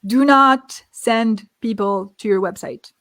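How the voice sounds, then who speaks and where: Woman, marketing expert guest, slightly high voice, energetic, emphatic, step-by-step explaining. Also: slow pace. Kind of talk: tips presentation in an interview.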